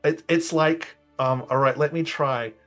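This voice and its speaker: A man's voice: on the high side, steady tone, unhurried, fairly bright tone, faint music behind. A man roleplaying a ship captain in a tabletop game, talking in a rambling, hesitant way. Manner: rambling, hesitant, full of "I mean".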